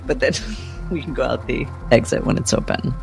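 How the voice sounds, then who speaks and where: A man's voice: mid-range voice, moderate pace, vocal fry. A man taking part in a tabletop roleplaying game, chatting casually as he describes his character's moves. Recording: music bed under the voice.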